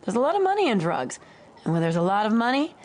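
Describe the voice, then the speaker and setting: A woman's voice: medium pitch, very animated, fast talker, a little mellow, natural voice. A woman playing a hard-bitten, cynical city guard in an audiobook drama, blunt and matter-of-fact.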